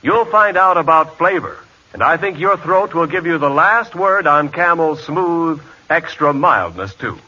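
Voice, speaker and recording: A man's voice: fairly high pitch, expressive, unhurried, hardly any pauses, bright, forceful tone, husky. A man announcing and selling on 1940s radio in an emphatic, polished manner. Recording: muffled 1940s radio recording.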